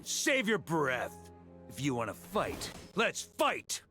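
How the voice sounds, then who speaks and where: A cocky knight hero from a video game. He is wry and punchy, his pitch leaping widely, with music faintly behind him. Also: wildly swooping pitch, slow, pushed and bright, heavily acted. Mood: defiant. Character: bold, cocky knight itching for a duel.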